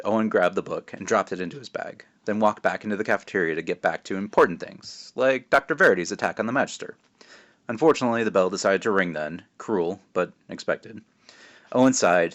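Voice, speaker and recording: A man reading a children's book aloud as its author, expressive and wry, slipping in casual asides. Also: deep voice, a little fast. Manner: expressive, self-interrupting, wry.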